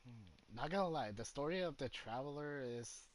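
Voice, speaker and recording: A man's voice: medium pitch, lively intonation, normal speed. A man giving casual, offhand live stream commentary on a game, partly muttering to himself. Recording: noisy live stream microphone.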